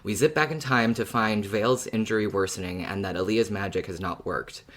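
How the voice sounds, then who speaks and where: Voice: sits low, a little fast, a bit bright. A podcast host who chats casually about a story in a fan discussion and laughs often.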